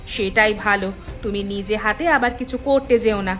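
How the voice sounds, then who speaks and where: A woman's voice: medium pitch, moderate pace, fairly bright tone, faint music behind, lightly acted. A woman voicing a scornful, scheming village mother in a cartoon, with a calculating manner of speaking. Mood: cautious, conniving. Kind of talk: cartoon voice acting.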